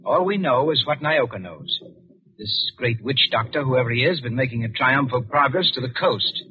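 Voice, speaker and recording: An actor playing an outspoken, uneasy young British diplomatic attaché, blunt and sardonic, in a 1940s radio drama. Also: male voice, mid-range voice, animated, moderate pace, breathy edge. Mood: insistent. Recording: muffled old 1940s radio recording.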